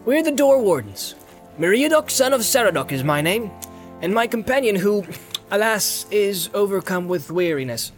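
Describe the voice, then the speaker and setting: A man's voice: high voice, sing-song, normal speed, soft background music, voice pushed up. A man voicing a small, cheeky young halfling man with pompous, courteous speech in a storybook reading. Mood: grandly courteous. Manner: mock-formal, pompous politeness.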